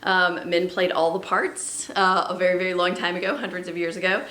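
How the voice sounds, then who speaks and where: A woman's voice: lowish voice, flat delivery, fairly quick, pushed and bright, roomy sound. A woman who sings soprano, teaching a music lesson to students at home and explaining patiently, with fillers and little laughs. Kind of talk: remote classroom lesson.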